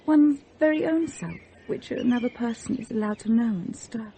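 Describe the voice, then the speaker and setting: Soft-toned, dreamy young Englishwoman. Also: a little high, lively intonation, moderate pace, creaky, a little hiss or hum. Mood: amused. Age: young adult. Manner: languid, giggly, intimate. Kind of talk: British radio play acting.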